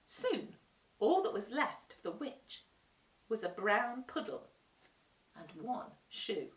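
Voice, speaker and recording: A woman reading a children's storybook aloud with expressive narration. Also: a little high, slow, distant mic. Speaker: storytime reader. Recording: roomy echo, away from the mic.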